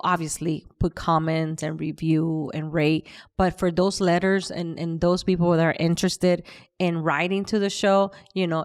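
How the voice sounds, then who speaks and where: A woman's voice: fairly low pitch, a little mellow. A woman hosting a podcast, speaking earnestly about faith and sharing stories, with frequent restarts. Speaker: podcast host. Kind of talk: casual podcast chat.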